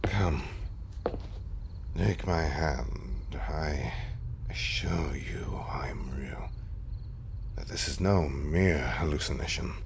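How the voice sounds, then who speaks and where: A man voicing a gentle, wistful zombie husband in an intimate audio roleplay, breathy and often half-whispered, with halting, old-fashioned, gallant phrasing. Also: very deep voice, noisy background, exaggerated voice. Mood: sheepish, wistful.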